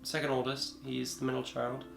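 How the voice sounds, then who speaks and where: A man's voice: mid-range voice, completely flat delivery, brisk, gravelly, fairly bright tone. A man in his twenties chatting casually and jokingly as a video co-host, answering viewer questions. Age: 20s. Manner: joking, bantering. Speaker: YouTube co-host. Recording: echoey room. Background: background music with plucked guitar.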